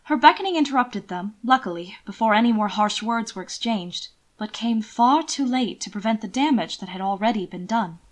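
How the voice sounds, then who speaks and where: A woman's voice: on the high side, animated, normal speed, hardly any pauses. A woman narrating a scripted story expressively, as an audiobook reader.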